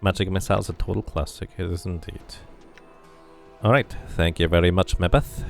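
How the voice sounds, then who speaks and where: Very deep-voiced man with a creaky edge. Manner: thinking aloud, musing. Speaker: streamer playing and narrating a game. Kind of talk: casual game commentary mixed with reading game text aloud.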